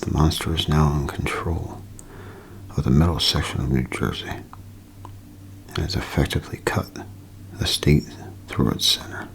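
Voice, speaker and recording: A man playing a solemn radio news announcer in a 1930s-style drama, speaking in measured, halting phrases. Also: a bass voice, creaky, a little hiss or hum. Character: grave male radio news announcer delivering disaster bulletin.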